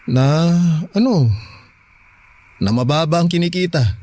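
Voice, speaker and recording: Earnest, halting young Filipino father. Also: fairly high pitch, expressive, darkish tone. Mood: ashamed, troubled. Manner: halting, pleading, sincere. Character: earnest, anxious young father promising to provide. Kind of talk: Filipino radio drama acting.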